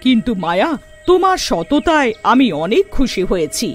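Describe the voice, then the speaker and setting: A woman's voice: fairly high pitch, very animated, normal speed, faint music behind. A woman voicing a gracious, authoritative witch queen in a children's cartoon story, with a benevolent, commanding manner. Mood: pleased, gracious.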